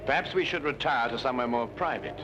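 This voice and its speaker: A man playing a decisive, urbane gentleman detective with a British accent, brisk, polite and self-assured, in old-time drama style. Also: medium pitch, muffled recording, over loud music, lightly acted. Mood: politely cool.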